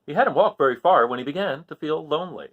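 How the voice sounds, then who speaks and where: Lively, teacherly male storyteller. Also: on the high side, narrow, tinny audio. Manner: teacherly, pauses to count along and quiz young listeners. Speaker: storyteller reading a picture book. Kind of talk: children's picture-book read-aloud.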